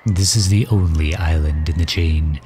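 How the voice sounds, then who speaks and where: A man playing a courtly, overprotective villain who fusses gently and formally in an ASMR roleplay drama. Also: extremely low pitch, moderate pace, a little hiss or hum. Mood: proud, expansive. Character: doting, overprotective male villain caring for injured hero.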